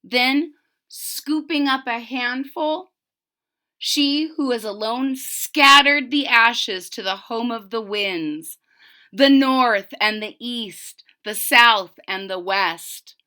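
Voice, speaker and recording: Female storybook reader: slightly high, bright voice, deliberate and expressive, speaking to young listeners. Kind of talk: expressive children's read-aloud with simple asides.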